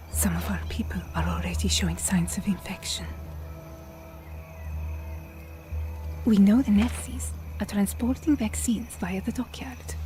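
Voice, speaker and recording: Woman acting earnest Italian resistance fighter, mellow dark tone, soft and hushed, restrained, game drama, faint music. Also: medium pitch, close to an everyday voice. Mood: urgent, worried. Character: resolute, grieving female Italian resistance fighter.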